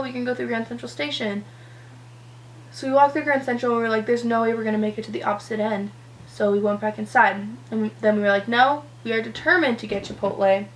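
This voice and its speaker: A teenage girl with a slightly raised pitch, a little hoarse from a cold, talking through her makeup in a loose, rambling way, full of "um" and "like". A faint hum sits under her voice. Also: low-quality recording. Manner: rambling, lots of "um" and "like". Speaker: beauty vlogger. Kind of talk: chatty get-ready-with-me vlog.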